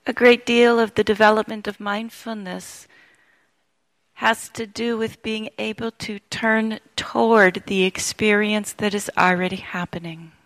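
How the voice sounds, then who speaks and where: A woman teaching meditation, giving gentle, unhurried guided instructions with long pauses between phrases. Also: medium pitch, steady tone, no room sound, poor audio.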